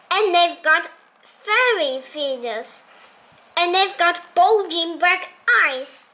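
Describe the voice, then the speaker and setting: A woman with a very high, bright voice reads a children's storybook aloud. She takes her time, placing each phrase deliberately with expressive, childlike storytelling. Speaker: storybook reader.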